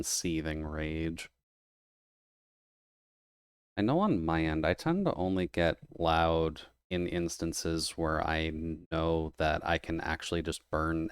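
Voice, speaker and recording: A man with a very deep, smooth voice speaks quietly in an easy, mumbling way. The sound is dry and close, like a headset on a voice call. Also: a little slow, no echo. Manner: quiet, low-energy, mumbled delivery. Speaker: streamer's guest playing a game. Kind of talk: casual gaming chat.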